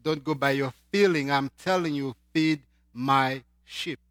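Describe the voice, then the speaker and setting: A man from Haiti with a Haitian accent, preaching a sermon and swinging between loud emphatic lines and quiet asides. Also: mid-range voice, a little slow, clear, resonant tone, dry recording, clean studio sound.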